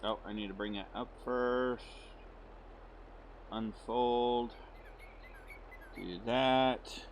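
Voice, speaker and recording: A middle-aged man from the United States with a hoarse voice still recovering, talking at an average pitch. He rambles in a friendly, unhurried way, full of fillers and restarts, as he plays through a game. Faint noise and game engine sounds sit behind him. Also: steady tone. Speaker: gaming channel host. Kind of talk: casual let's-play commentary.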